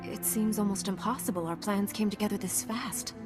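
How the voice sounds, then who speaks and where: A mid-pitched young Jedi heroine played by a woman speaks plainly and with self-assurance. It is earnest video game acting over a prominent music score. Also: fairly quick, natural voice. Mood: earnest, questioning. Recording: loud music behind.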